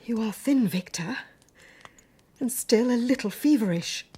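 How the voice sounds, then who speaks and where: Breathy, expressive, devoted young bride. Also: female voice, a little high, unhurried. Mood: worried tenderness. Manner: tender, concerned. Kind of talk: dramatised audiobook acting.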